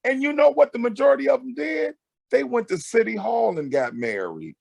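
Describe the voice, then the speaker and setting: A middle-aged man with a high voice that swings widely as he talks. He is loud and emphatic, giving conversational counsel and repeating phrases for weight. The sound is close and dry. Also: big pitch swings, moderate pace, vocal fry, dry recording. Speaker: guest marriage counselor and mentor. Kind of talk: animated conversational advice talk.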